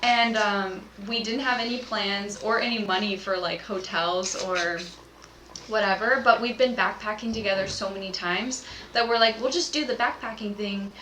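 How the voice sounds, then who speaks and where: A woman's voice: medium pitch, normal speed, bright, forceful tone, a little hiss or hum, poor audio. Chatty young woman telling a story. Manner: chatty, filler-heavy, laughing storyteller. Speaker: podcast guest. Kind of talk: casual storytelling chat.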